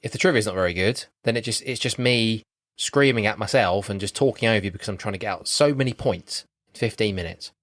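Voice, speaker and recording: A man's voice: fairly low pitch, fast talker, fairly bright tone, no echo, studio quality. A man co-hosting a podcast in casual banter, grumbling and rambling as he talks. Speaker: podcast co-host. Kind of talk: casual film chat with banter.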